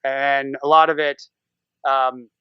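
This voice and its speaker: A man's voice: medium pitch, flat delivery, slow pace, clean tone, no room sound, muffled recording. A man chatting casually as a podcast guest, rambling playfully as he tells stories. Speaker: podcaster and amateur voice actor. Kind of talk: casual interview chat.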